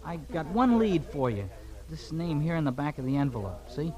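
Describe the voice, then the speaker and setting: An actor playing an earnest, worried newsboy, hesitant and slangy, in a 1940s radio drama. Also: male voice, on the high side, very animated, normal speed. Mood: anxious, earnest. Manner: hesitant, slangy, plain-spoken. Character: worried, earnest streetwise newsboy, polite to adults. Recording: vintage radio, crowd murmur behind.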